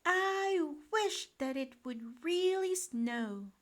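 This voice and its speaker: A woman voicing an eager, wistful young boy mouse in a storybook, pleading and excitable. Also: extremely high pitch, very slow, voice pushed up, heavily acted. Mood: wishful.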